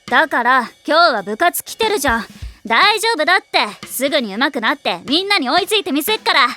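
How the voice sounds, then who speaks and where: A woman voice-acting a brash, hot-tempered high school tomboy in an anime, with rough, slangy, boyish speech and a blunt, defensive manner. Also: big pitch swings, a little fast, pushed and bright, faint music behind, exaggerated voice. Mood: casual, evasive.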